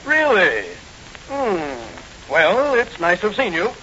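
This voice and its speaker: An actor playing a smug, sly crooked lawyer who banters with amusement in 1940s radio style. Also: male voice, sits high, huge pitch swings, slow pace, bright, forceful tone, full character voice. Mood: sly, amused. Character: smooth, smug, vain crooked lawyer, past youth. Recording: muffled, hissy old radio recording.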